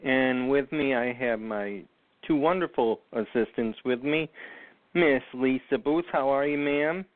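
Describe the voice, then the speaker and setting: Man, radio host, slow and halting, lots of ums, phone-line sound. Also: mid-range voice, no room sound. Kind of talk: casual radio chat. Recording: tinny phone line, dry.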